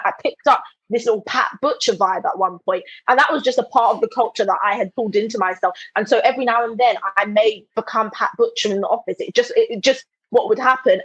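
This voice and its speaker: A woman's voice: fairly high pitch, fairly bright tone, husky, no echo. A woman speaking reflectively as a podcast host. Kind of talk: candid conversation. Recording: dull, dry lo-fi.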